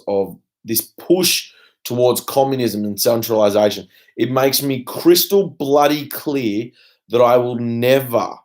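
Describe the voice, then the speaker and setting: A man's voice: mid-range voice, darkish tone. A young Australian man speaking off the cuff in a personal video rant, blunt and emphatic, with casual swearing and trailing asides. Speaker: vlogger. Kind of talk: unscripted personal rant to camera.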